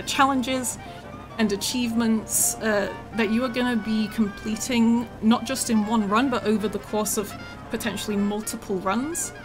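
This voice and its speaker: A woman's voice: on the high side, poor audio. Woman, game dev streamer, casual and chatty, voice a bit worn, music under her. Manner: chatty, with many ums and laughs. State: slightly losing her voice. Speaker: game developer streaming gameplay. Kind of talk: casual live-stream chat. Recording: music playing behind.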